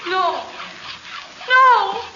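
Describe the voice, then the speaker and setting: A woman's voice: very high-pitched, expressive, very slow, heavily acted. An actress playing a terrified, defiant captive woman losing her mind, melodramatic and urgent, in old-time radio horror style. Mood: panicked muttering. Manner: melodramatic, short urgent phrases. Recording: noisy, muffled old radio recording.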